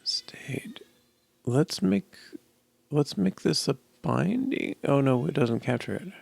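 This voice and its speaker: A middle-aged man thinking aloud as he codes, musing and halting as if muttering to himself, in casual commentary. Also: on the high side, big pitch swings, creaky, a little mellow, husky, no echo. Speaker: programmer live-coding. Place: indoor room at a desk.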